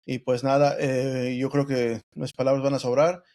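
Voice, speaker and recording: Flat-voiced male podcast host. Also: medium pitch, normal speed. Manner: steady, even, encouraging questions. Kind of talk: casual interview hosting.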